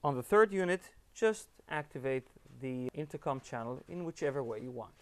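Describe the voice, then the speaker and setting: A man's voice: medium pitch, expressive, moderate pace. A man giving scripted step-by-step instructions as an upbeat tutorial presenter.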